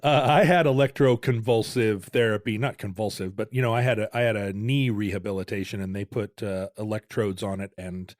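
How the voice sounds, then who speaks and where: A man's voice: lowish voice, fairly even intonation, a little fast, hardly any pauses, dry recording, clean studio sound. A man co-hosting a podcast in casual chat, joking and rambling, often correcting himself mid-sentence. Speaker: podcast co-host.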